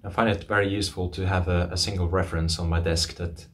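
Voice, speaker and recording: A man, giving a measured, matter-of-fact technical presentation to camera. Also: low-pitched, flat delivery. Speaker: loudspeaker engineer and author.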